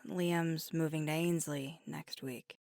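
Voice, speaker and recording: An earnest, devoted werewolf girlfriend played by a woman in an intimate ASMR audio roleplay. Her voice sits on the low side and comes through dry and close. She takes her time, choosing words with hesitant, conversational sincerity. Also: fairly even intonation, takes it slowly, gravelly, a little mellow, no echo, exaggerated voice. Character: devoted, earnest young werewolf girlfriend weighing big news.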